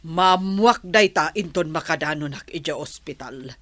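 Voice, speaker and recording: A woman's voice: low voice, normal speed, fairly bright tone, dry recording. A woman acting in an audio drama as a worried, concerned grandmother-to-be. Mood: worried, gossiping.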